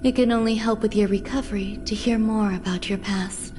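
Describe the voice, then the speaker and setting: An actress playing a cool, detached genius scientist in a video game, speaking in a measured, formal way. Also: female voice, mid-range voice, fairly even intonation, mellow, natural voice. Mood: detached, matter-of-fact. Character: aloof clinical female genius scientist, cool and transactional. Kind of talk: scripted video game voice acting. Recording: music behind the voice.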